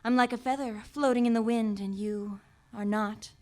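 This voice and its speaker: Woman acting a high-voiced, mock-romantic runaway bride. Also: steady tone, moderate pace, a little mellow, lightly acted. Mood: apologetic, final. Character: female runaway bride, sweetly dramatic and dismissive. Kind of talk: letter read aloud in live comic radio play.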